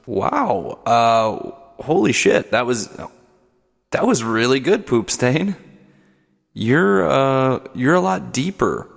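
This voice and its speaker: A man's voice: mid-range voice, very animated, slow, gravelly. A man hosting a comedy podcast, talking bluntly with an exasperated edge and frequent stammers. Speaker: podcast host. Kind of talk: comedic radio-play banter. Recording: long reverb on the voice.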